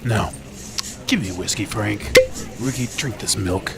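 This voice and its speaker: A gruff, bossy detective played by a man, low and gravelly, takes his time with each line. Music plays behind him in this old-time radio crime drama. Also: a little slow. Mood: brusque, demanding. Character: gruff, boozy male police detective, brusque and bossy.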